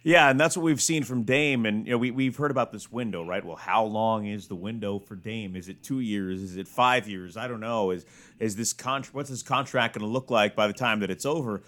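Lowish-voiced man in sports chat. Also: a little fast, a bit bright. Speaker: sports studio host. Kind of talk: casual sports analysis chat.

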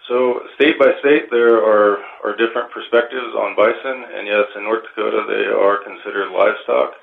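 A man giving measured, formal explanations in an interview as a park resource official, with hesitant phrasing, on a narrow phone-like line. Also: lowish voice, steady tone, gravelly.

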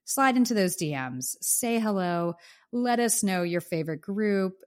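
A woman's voice: medium pitch, lively intonation, measured pace, darkish tone. A woman co-hosting a casual podcast while sick with the flu, chatty and grateful. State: sick with the flu, may cough. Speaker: podcast co-host. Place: home in Los Angeles.

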